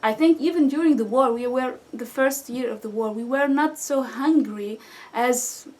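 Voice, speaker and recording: A woman's voice: on the high side, darkish tone. A Syrian woman in her thirties, a guest speaking hesitant with frequent restarts and fillers. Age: 30s. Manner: halting non-native English with restarts and fillers. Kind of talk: conversational interview answers. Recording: distant mic with faint background noise.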